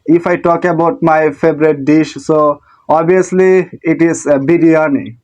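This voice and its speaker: Man, slightly high voice, halting friendly talk, dull lo-fi call audio. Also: steady tone, darkish tone. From Bangladesh. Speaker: host. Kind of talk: casual video-call chat.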